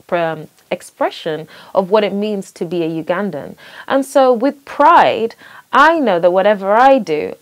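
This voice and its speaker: A young woman born in Sweden, hosting and speaking thoughtfully and earnestly about her identity, with small restarts. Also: lowish voice. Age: young adult. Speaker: host. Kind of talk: reflective personal monologue.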